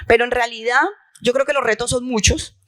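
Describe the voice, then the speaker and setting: A woman's voice: on the high side, fairly even intonation, brisk, a bit bright. Impassioned woman on a panel. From La Guajira, Colombia. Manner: impassioned, emphatic, with rhetorical repetition. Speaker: health insurer leader on a panel. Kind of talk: conference panel talk. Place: conference hall.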